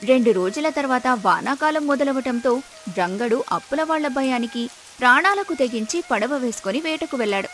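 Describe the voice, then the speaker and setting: A woman narrator telling a scripted moral story in an expressive storytelling manner. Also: high-pitched, brisk, faint music behind.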